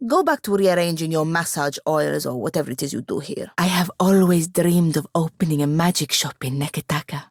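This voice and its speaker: A young sorceress's apprentice from a fantasy video game, speaks low and with a gravelly edge. She is ambitious and sharp-tongued, choosing her words with dry, haughty precision. The recording is close and very dry. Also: dry recording, exaggerated voice. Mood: snippy, deadpan. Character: sardonic, ambitious young female wizard apprentice. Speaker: video game companion character. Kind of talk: scripted fantasy game dialogue.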